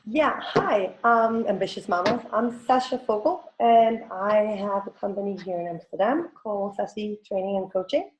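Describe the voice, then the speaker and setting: A woman's voice: medium pitch, expressive, normal speed, hardly any pauses, lo-fi sound, a little hiss or hum. A woman in her forties from Denmark with a Danish accent, a native Danish speaker answering casually as an interview guest, with hesitant, self-correcting phrasing. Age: 40s. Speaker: guest, teen coach and business owner. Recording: roomy, echoing sound.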